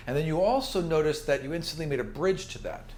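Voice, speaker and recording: A man's voice: a little high, lively intonation, quick pace, a little mellow. A man narrating a tabletop roleplaying game as its game master, improvising the descriptions as he goes. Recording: roomy sound with faint background noise.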